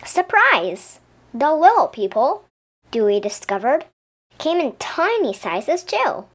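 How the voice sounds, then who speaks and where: High, animated woman reading a storybook. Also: slow. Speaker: storybook reader. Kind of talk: expressive children's story narration.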